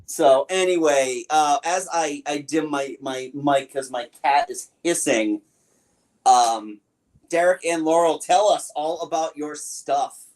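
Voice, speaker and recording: A middle-aged man hosts a casual podcast. His voice sits fairly high for a man, and he talks in a loose, joking, informal way. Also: slow pace, darkish tone, rough recording. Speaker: podcast host.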